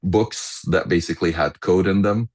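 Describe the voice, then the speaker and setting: Very deep-voiced middle-aged man. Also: a little mellow. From Egypt. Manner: hesitant, halting delivery with many pauses. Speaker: podcast guest. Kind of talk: casual reminiscing conversation.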